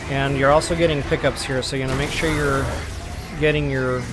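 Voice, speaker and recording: A man's voice: mid-range voice, fairly even intonation, fairly quick, low-quality recording. A man giving casual gameplay walkthrough commentary, talking straight to his viewers as a channel host. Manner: casual, talks directly to viewers. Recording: game music behind the voice.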